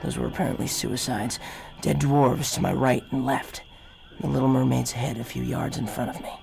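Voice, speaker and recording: An actor playing a haunted, war-weary army captain, narrating gravely in the first person in a radio drama parody. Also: male voice, mid-range voice, normal speed, darkish tone, soft background music. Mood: grim, detached. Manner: grave, hard-boiled.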